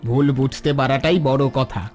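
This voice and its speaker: A man's voice: mid-range voice, fairly even intonation, normal speed, a little mellow, close to an everyday voice. A man playing a humble, forgiving poor villager in a cartoon, speaking respectfully and consolingly. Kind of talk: dramatic cartoon dialogue. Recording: music bed under the voice.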